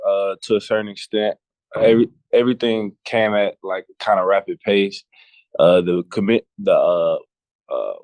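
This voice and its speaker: Polite, laid-back teenage football recruit. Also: male voice, lowish voice, darkish tone, no echo. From Georgia. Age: teens. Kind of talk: interview answers.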